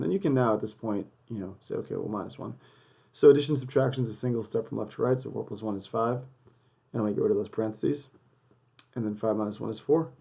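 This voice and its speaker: A man's voice: fairly low pitch, gravelly, warm tone, breathy edge. A man teaching a math lesson, working through problems step by step in a casual way while losing his voice. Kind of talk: worked-example math lesson. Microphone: camera over a desk filming paper and hands.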